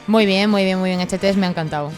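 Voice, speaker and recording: Woman co-host, mid-pitched. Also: fairly even intonation, quick pace, faint music behind. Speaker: podcast co-host. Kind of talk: casual chat.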